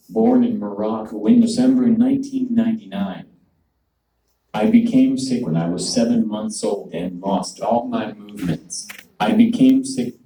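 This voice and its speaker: A synthetic male voice from a speech device, pitched a little low with a mellow, rounded tone. It delivers a prepared conference talk in measured, formal sentences. The sound carries through a roomy hall, picked up from some distance. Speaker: conference speaker.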